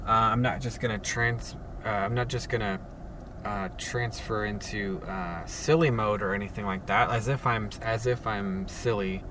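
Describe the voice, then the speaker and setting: A man hosting a casual solo podcast from his parked car, speaking in a monotone with many halting fillers and restarts. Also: lowish voice, far from the mic, noisy background. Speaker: podcast host. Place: sitting alone in a parked car.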